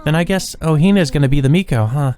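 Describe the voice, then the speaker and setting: A man's voice: a little high, quick pace, darkish tone, clean tone, faint music behind, pitched above the performer's own voice, lightly acted. A man voicing a casual, teasing young woman in a visual novel. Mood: chatty.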